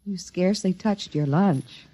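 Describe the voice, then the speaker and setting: A woman's voice: on the low side, a little slow, warm tone, husky. An actress playing a protective, anxious American mother in a 1950s radio drama, pleading and soothing. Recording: noisy vintage radio recording.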